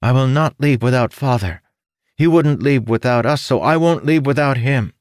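A man voicing a young winged, trunked male creature in a storybook reading, high and earnest. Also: normal speed, no room sound, studio quality, natural voice. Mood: defiant. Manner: high, earnest and pleading, rising to shouts.

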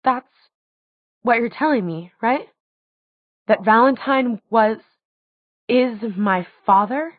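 A woman narrator plays a teenage heroine of an audiobook, emotional and incredulous. Her voice sits high and swings with feeling, every objection leaned on. The recording is dry and slightly dull. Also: slow, long pauses, no room sound. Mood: shaken. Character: upset, sarcastic teenage girl heroine.

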